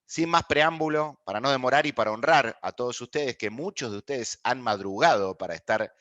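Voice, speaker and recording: A man from Argentina with an Argentine accent, giving a courteous, measured online masterclass as a guest speaker and often pausing on filler sounds over a video call. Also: medium pitch, fairly bright tone, no echo.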